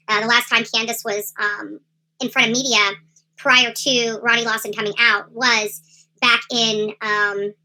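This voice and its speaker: A woman hosting a livestream in a casual, rambling commentary style with halting delivery. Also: fairly high pitch, sharp tone, low-quality recording. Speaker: livestream host. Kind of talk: casual livestream chat, true crime commentary.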